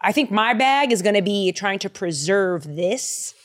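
A young adult woman co-hosting a podcast in casual chat, playful and animated, slipping into little voices. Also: medium pitch, a little slow. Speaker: podcast co-host.

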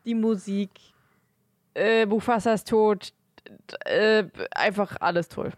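A woman's voice: medium pitch, steady tone, takes it slowly, no room sound, clean studio sound. A woman co-hosting a podcast, talking casually about films. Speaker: podcast co-host.

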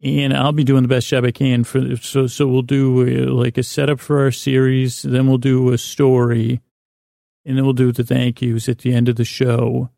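A man hosting a bedtime podcast with a creaky voice, rambling in a halting, self-deprecating way that wanders and breaks off mid-word. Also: medium pitch, flat delivery, dark tone, dry recording, studio quality. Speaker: podcast host. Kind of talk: rambling bedtime monologue to help listeners sleep.

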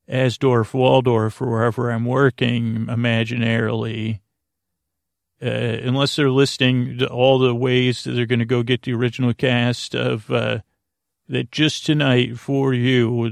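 Creaky-voiced man rambling haltingly. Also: mid-range voice, no room sound, clean studio sound. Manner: halting, meandering, self-interrupting, trailing off mid-thought; self-deprecating, whimsical humor. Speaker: podcast host. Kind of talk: rambling, tangential sleep podcast monologue.

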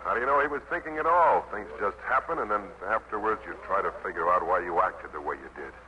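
Actor as low-voiced seasoned GI narrator, old radio. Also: male voice, quick pace, slight background noise, close to an everyday voice. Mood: wry. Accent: American. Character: seasoned American infantry soldier narrating war memories. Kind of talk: 1950s radio drama acting. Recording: muffled old radio broadcast, music behind.

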